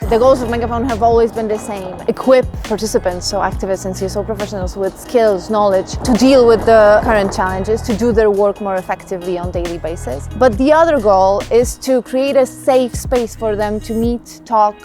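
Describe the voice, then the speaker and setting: A woman's voice: medium pitch, brisk, few pauses. A woman speaking as an event organizer, explaining things in an interview. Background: background music.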